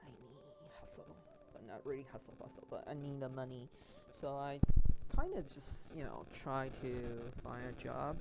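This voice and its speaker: A man's voice: medium pitch, huge pitch swings, normal speed, gravelly, very noisy. A man from Taiwan with a mixed Australian and Canadian accent, chatting casually on a stream while crafting, rambling with many restarts and fillers. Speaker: Twitch streamer.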